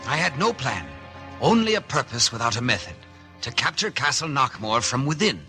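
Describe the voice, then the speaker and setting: An actor playing a roguish, bumbling captain in a theatrical 1950 radio drama style. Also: male voice, mid-range voice, very animated, over loud music, close to an everyday voice. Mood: dramatic narration. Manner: theatrical, halting bluster. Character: roguish Irish adventurer captain, bold but bumbling talker.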